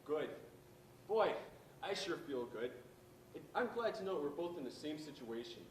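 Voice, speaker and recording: An awkward, nervous teen boy on a date acted by a young man. His pitch jumps around a lot, and the stage recording is distant and echoey. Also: very animated, normal speed, slight background noise. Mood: awkward, surprised. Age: young adult. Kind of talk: amateur stage play acting.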